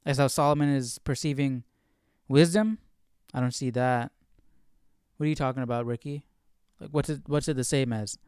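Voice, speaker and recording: A man hosting a live Bible study in a casual, questioning way, thinking out loud as he reads and comments. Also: mid-range voice, normal speed, clean tone, no echo, studio quality.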